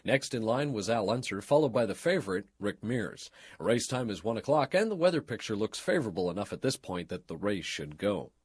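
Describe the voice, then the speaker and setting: A man reading a scripted radio news and sports bulletin in a formal, measured broadcast delivery. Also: fairly low pitch, big pitch swings, breathy edge, dry recording, studio quality.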